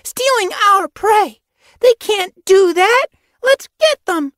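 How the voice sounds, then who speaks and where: Excitable squeaky young she-cat apprentice. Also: moderate pace, no echo, studio quality. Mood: indignant, fierce. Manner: exclaims in bouncy, indignant bursts. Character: excitable, rash young female cat apprentice, mutinous and eager.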